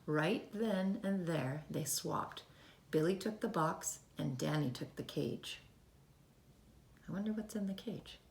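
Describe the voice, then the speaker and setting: A woman reading a children's storybook aloud in an expressive way, talking directly to young listeners. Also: lowish voice, slow, creaky.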